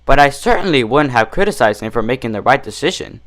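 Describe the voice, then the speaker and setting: A man voicing a proper, official female cartoon pony mayor in a measured, formal manner. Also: mid-range voice, expressive, a bit bright, close to an everyday voice. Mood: candid.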